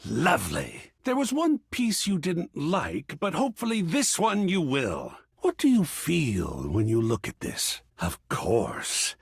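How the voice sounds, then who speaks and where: Wildly swooping, effusive art curator. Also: male voice, fairly high pitch, huge pitch swings, slow pace, gravelly, hardly any pauses, slightly rough, no room sound, clean studio sound, heavily acted. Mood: delighted, then musing, lecturing. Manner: effusive, erudite, precisely enunciated, dramatic. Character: excitable, cultured art object come to life, gallery curator. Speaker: video game character. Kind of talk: theatrical game dialogue.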